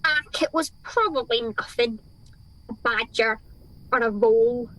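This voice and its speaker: A grumpy, dismissive soldier in a comic radio play, acted by a woman. The voice is high-pitched and takes its time over each line. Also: unhurried, fairly bright tone, rough recording. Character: gruff, dismissive Scottish soldier at camp. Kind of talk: radio comedy acting.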